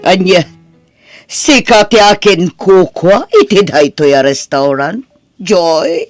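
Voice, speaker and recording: A woman with an Ilocano Filipino accent playing a remorseful middle-aged aunt in an audio drama, pleading in a halting, emphatic way. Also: fairly low pitch, expressive, faint music behind. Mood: taken aback. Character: remorseful older aunt who once belittled her niece.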